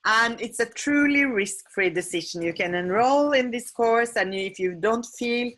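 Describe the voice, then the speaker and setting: A woman teaching feng shui and decluttering in a live webinar. English is not her first language, and she talks haltingly, with many fillers and self-corrections. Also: on the high side, expressive, a bit bright. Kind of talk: live webinar sales pitch and Q&A. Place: home on a small island.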